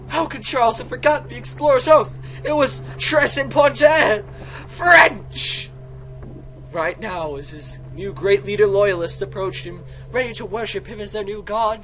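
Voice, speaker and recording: A man's voice: sits high, sharp tone, slight background noise, poor audio. A man doing a dramatic, comic read-aloud of a video game, theatrical, exaggerated and wisecracking. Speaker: game commentator and reader.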